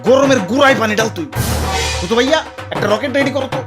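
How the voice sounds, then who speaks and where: High-pitched cheeky cartoon fellow played by a man, Bangladeshi accent, exclamatory grumbler, music underneath. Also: quick pace. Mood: exasperated. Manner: complaining, exclamatory. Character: grumbling, cheeky male cartoon hero fed up with heat. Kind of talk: comic cartoon dialogue. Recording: music behind the voice.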